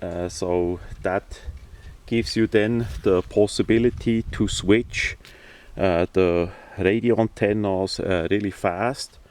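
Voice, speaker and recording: Slow, low-voiced man, halting delivery. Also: fairly even intonation, a little mellow. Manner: halting, hesitant, matter-of-fact delivery. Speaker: hobbyist presenter. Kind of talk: casual hands-on product demonstration. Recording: distant microphone.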